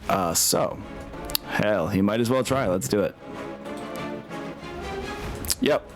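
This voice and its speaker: A man's voice: on the low side, slow. A man hosting a tabletop game as game master, thinking aloud as he casually narrates and works through rules. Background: music.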